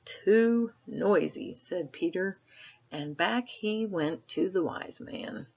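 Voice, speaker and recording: A woman reading a children's story aloud like a teacher, adding casual comments and gentle questions for the listener. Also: fairly low pitch, slow, breathy edge. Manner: asks gentle tag questions. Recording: dull, lo-fi sound.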